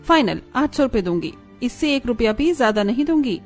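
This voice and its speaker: A woman's voice: a little high, lively intonation, fairly quick, faint music behind. A woman playing a thrifty, firm housewife and dutiful daughter-in-law in a cartoon family drama. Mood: firm haggling.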